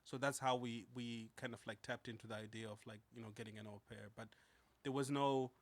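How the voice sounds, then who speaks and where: A man's voice: medium pitch, fairly even intonation, fairly quick, dry recording. A man in his thirties, a native Shona speaker, hosting a casual podcast, talking in a rambling way with many fillers. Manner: rambling, many fillers and restarts, laughs easily. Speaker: podcast host.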